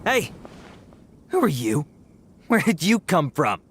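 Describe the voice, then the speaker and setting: Timid young bandit, game character, flustered, rambling, lively up-and-down voice. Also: male voice, on the high side, slow pace, a little hiss or hum, full character voice. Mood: alarmed. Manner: flustered, pleading, rambling with fillers. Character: timid young male bandit caught red-handed. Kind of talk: game voice acting.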